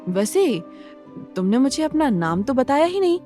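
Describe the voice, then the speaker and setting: Woman voicing a sly, mellow, mysterious young woman. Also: sits high, big pitch swings, normal speed, soft background music, voice pushed up. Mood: casual, probing. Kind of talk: Hindi audio horror drama acting.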